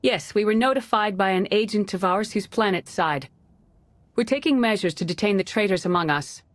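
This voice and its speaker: An actress playing a dignified Jedi grand master in a video game, formal, measured and diplomatic, with calm authority. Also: female voice, medium pitch, no echo, lightly acted. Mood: businesslike. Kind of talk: dramatic video game acting.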